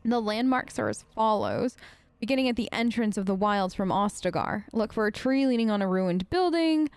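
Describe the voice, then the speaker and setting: A woman's voice: mid-range voice, lively intonation, normal speed, a little mellow, clear, resonant tone, dry recording. A woman hosting a role-play let's play, chatty and playful, talking close to the microphone. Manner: playful, bantering. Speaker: gaming video host.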